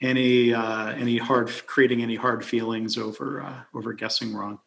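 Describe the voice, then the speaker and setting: A man's voice: mid-range voice, flat delivery, normal speed, creaky, a bit bright, a little hiss or hum, poor audio. A man from near Detroit hosting a podcast, asking friendly, curious questions with frequent fillers. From near Detroit, USA. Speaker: podcast host. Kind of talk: casual conversation.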